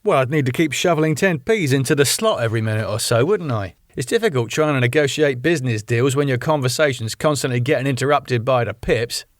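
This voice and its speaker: A male reader acts an earnest sitcom employee. He grumbles and explains himself at a medium pitch, recorded very dry. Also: animated, brisk, hardly any pauses, no room sound, close to an everyday voice. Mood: defensive, grumbling.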